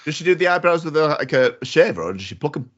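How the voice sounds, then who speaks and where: A man's voice: on the high side, big pitch swings, fast, a bit bright, muffled recording. Stammering, chuckling male podcast co-host. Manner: hesitant, stammering restarts, giggly. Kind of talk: casual banter.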